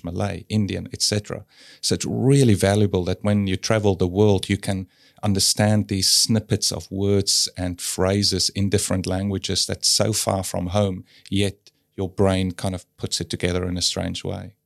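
A man's voice: sits low, gravelly, no echo, clean studio sound. A man from South Africa, a native Afrikaans speaker with an Afrikaans South African accent, talking easily and at length as a gallery curator in an interview. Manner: easygoing, explains at length, fills with "yeah". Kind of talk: relaxed conversational interview.

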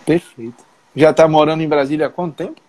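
A man's voice: a little high, steady tone, quick pace, darkish tone, a little hiss or hum. A man hosting an interview in a friendly, informal way, often checking in with the audience. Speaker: interview host, physician.

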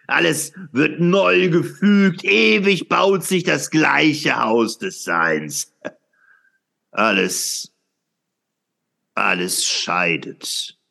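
Gravelly, fervent brooding philosopher. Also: male voice, a little high, lively intonation, pushed and bright, exaggerated voice. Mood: rapturous, feverish. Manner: declamatory, halting, with dramatic pauses and repetitions. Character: fervent, brooding male philosopher proclaiming eternal return. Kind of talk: theatrical radio play monologue.

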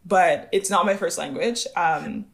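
A woman's voice: fairly low pitch, unhurried, creaky. A woman from Montreal, a designer and podcast guest, chatting casually, laughing often and hedging with fillers. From Montreal, Canada. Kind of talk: casual chat.

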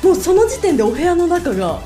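A woman's voice: very high voice, very animated, fast talker, warm tone, soft background music. A twenty-year-old woman, a student co-host, telling stories casually in a polite, girlish way. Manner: polite but girlish, acts out quoted exchanges. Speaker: podcast co-host, university student. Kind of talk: casual storytelling chat.

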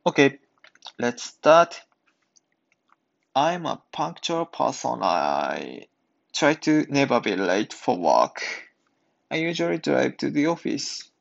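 A man hosting an English practice podcast, speaking and reading aloud in careful, halting learner's English. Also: mid-range voice, gravelly. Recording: dull lo-fi sound.

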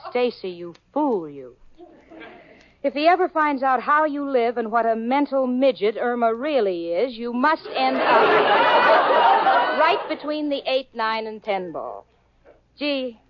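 An actress playing a sensible, wry young secretary in a 1940s radio sitcom, with dry sardonic delivery. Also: female voice, fairly high pitch, natural voice. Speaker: co-lead and narrator in radio sitcom. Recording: dull old radio broadcast, audience laughter.

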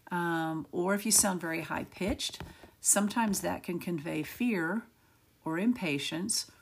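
A middle-aged woman hosting a solo podcast, with a raspy, gravelly voice and a rapid, slightly manic delivery. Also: fairly low pitch. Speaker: podcast host.

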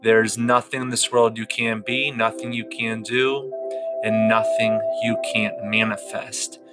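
A man with a fairly low voice guides a meditation, speaking very slowly and soothingly with long pauses between phrases. Clear background music plays under him throughout. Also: level tone. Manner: slow, soothing, drawn-out delivery with long pauses. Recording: clear music bed behind the voice.

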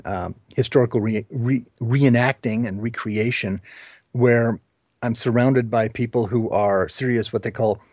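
A man's voice: medium pitch, darkish tone, no echo. Informal male interview host, dry close sound.

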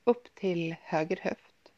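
Woman doing gentle guided relaxation, slow pauses, close dry sound, slightly muffled recording. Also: lowish voice, dry recording. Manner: soothing, gentle, unhurried instructions with pauses. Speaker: yoga nidra guide. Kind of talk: guided meditation, body scan.

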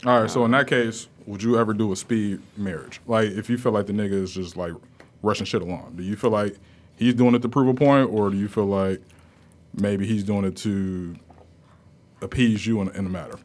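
A man's voice: fairly low pitch, fairly even intonation, a little fast. A man in his thirties hosting a casual podcast chat, asking probing questions. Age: 30s. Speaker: host.

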